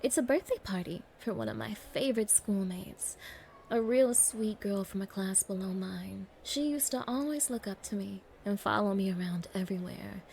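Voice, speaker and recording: A woman's voice: mid-range voice, expressive, normal speed, vocal fry, a little mellow, a little hiss or hum. A woman playing a spoiled, contemptuous young gold digger in an ASMR roleplay, talking in a condescending, mocking, bossy way. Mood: smug, casual. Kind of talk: ASMR roleplay audio acting.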